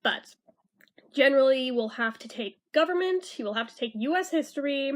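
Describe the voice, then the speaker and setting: High-voiced American woman with a slight lisp. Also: lively intonation, a little fast. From California. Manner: chatty, informal, self-deprecating. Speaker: vlogger giving school advice. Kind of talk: casual advice talk to camera.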